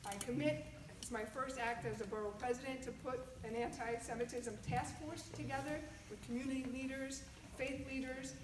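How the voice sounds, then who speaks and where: A woman's voice: sits high, fairly even intonation, moderate pace, noisy background. A woman candidate giving a firm, combative closing statement at a community forum. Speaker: political candidate. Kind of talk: campaign speech at a community forum. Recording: echoey hall, speaker far from mic.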